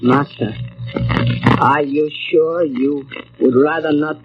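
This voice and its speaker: A downtrodden, superstitious manservant from vintage radio drama. He speaks slowly and haltingly in a slightly high voice, servile with resentment underneath. Noise runs clearly behind the muffled recording. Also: fairly even intonation. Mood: timid, uneasy. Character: meek, superstitious foreign manservant, loyal but resentful. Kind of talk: 1940s radio drama acting.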